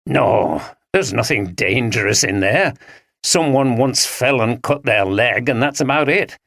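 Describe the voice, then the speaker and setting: A man's voice: mid-range voice, expressive, unhurried, gravelly, a bit bright, no echo, studio quality, full character voice. A man voicing a jumpy, nervous male actor who talks agitatedly.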